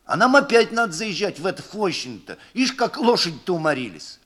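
A man's voice: high-pitched, sing-song, brisk, a bit bright, voice pushed up, exaggerated voice. A man voicing a stubborn, sullen young peasant coach driver in a storybook reading, blunt and grumbling.

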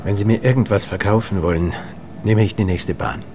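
A man's voice: fairly low pitch, steady tone, brisk, background noise, close to an everyday voice. An actor playing a wary, sceptical ageing man in a radio drama, with a terse, dry delivery. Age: middle-aged or older. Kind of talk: German radio drama acting.

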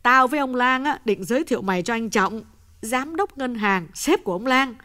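A man voicing a distraught young wife in a high, fretful falsetto. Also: lively intonation, moderate pace, bright, forceful tone, exaggerated voice. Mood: tearful. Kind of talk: audiobook character voice.

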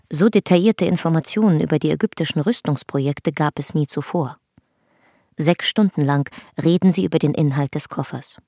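A woman's voice: low-pitched, darkish tone, dry recording, studio quality. A woman narrating a documentary-style radio drama in a factual, composed manner.

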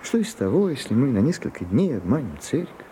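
An actor playing a shy, prim gentleman in a radio play, confiding haltingly and easily flustered. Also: medium pitch, big pitch swings, lightly acted. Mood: wistful, nostalgic. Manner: hesitant, halting, bashful. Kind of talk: Russian radio play acting. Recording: muffled Soviet-era radio recording, some hiss.

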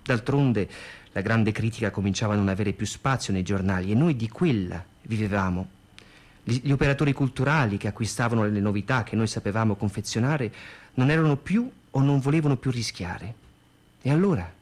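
A man delivering scripted radio narration as a narrator looking back, in a formal, measured manner. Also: fairly low pitch, expressive, a little mellow. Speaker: narrator recalling a theatre's history.

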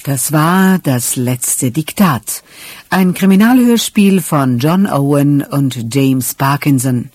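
A woman announcer reading scripted radio drama credits in a formal, deliberate manner. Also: low voice, a little mellow, no room sound.

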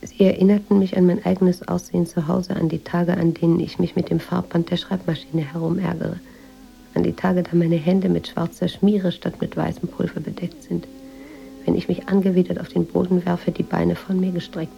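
A weary, introspective woman writer narrates her story in a radio play. Her voice is low and dark, with heavy fry. She speaks in a reflective, literary way. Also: level tone, normal speed, dull audio. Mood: pensive, uneasy. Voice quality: husky, creaky. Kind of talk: scripted radio drama narration.

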